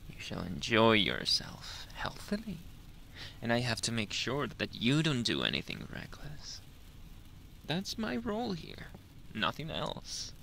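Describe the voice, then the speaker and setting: A man's voice: mid-range voice, exaggerated voice. Man playing a whispering, possessive yandere lover boy. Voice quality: breathy, whispery, creaky. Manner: slow, lingering, teasing endearments with soft chuckles. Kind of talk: ASMR roleplay, intimate whispered monologue.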